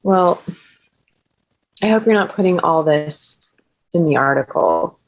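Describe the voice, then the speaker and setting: A woman's voice: low-pitched, expressive, takes it slowly, full character voice, low-quality recording. A woman playing a polite, guarded character at a screenplay table read, courteous and understated.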